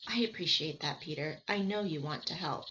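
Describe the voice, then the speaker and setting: A woman's voice: sits low, fairly quick, fairly bright tone, slight background noise. Woman voicing an anxious young girl adventurer, dull recording. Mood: discouraged.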